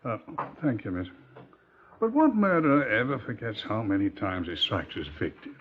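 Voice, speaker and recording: An actor playing a shrewd, ruminative police superintendent with a pompously droll manner, in an old radio crime drama. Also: male voice, lowish voice, big pitch swings, moderate pace. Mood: skeptical, insistent. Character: shrewd, musing senior police superintendent, fond of quotations. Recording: muffled, noisy 1950s radio recording.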